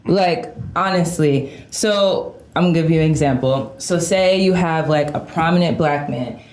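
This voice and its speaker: Low-voiced young woman, direct. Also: normal speed, darkish tone. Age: young adult. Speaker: podcast co-host. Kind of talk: casual chat. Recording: echoey room.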